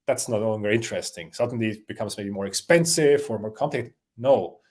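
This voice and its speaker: A man's voice: fairly low pitch, fairly quick. Hesitant Swiss man. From Switzerland. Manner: hesitant, many fillers and restarts. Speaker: interview guest. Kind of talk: conversational interview answers.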